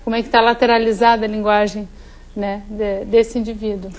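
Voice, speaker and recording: A woman neuropsychologist calmly explaining on a talk show how the brain builds connections. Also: mid-range voice, a little fast. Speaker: neuropsychologist, guest. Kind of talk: studio interview.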